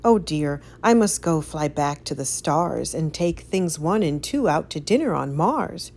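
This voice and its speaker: A woman reading a rhyming picture book aloud for children in an expressive, sing-song storytelling way. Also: deep voice, a little slow, gravelly. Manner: animated, sing-song, careful storytelling delivery.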